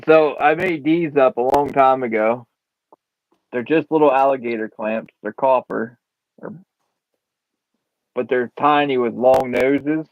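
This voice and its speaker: A man hosting a casual chat, easygoing and quick to laugh. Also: medium pitch, steady tone, no room sound. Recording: dull, dry lo-fi mic.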